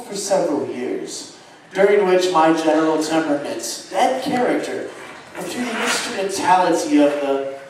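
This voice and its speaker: Man acting a brooding confessor in very echoey theater. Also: a little high. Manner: halting, deliberate, theatrical delivery. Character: moody man confessing his moral decline to drink. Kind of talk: dramatic live stage reading of gothic horror. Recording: distant echoey theater, clear audience noise.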